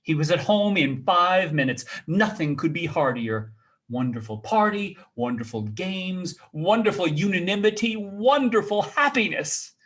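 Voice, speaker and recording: A male storyteller narrates in a theatrical, expressive style. His voice sits fairly high for a man and swings widely in pitch as he dramatizes the tale. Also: measured pace. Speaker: storyteller reading aloud.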